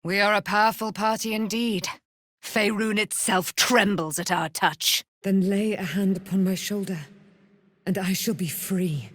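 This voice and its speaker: Woman playing a proud immortal warrior knight, slow and regal. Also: medium pitch, fairly even intonation, no echo, clean studio sound, natural voice. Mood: proud, grateful, then imperious. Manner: grand, archaic, declamatory. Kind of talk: theatrical fantasy game voice acting.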